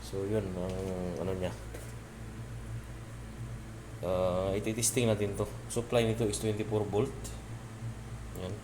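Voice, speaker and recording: A man's voice: lowish voice, little pitch movement, a little slow, long pauses. A Filipino man giving a casual hands-on electronics tutorial, informally pointing out parts as he goes. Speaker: electronics repair presenter. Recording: clearly noisy home-made video recording.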